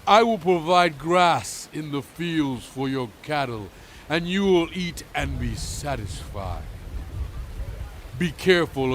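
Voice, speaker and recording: A man playing a solemn, commanding biblical prophet in a dramatized scripture reading, speaking in a measured, emphatic, exhorting way. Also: fairly high pitch, slight background noise. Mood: stern warning. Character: solemn commanding male biblical prophet addressing his people.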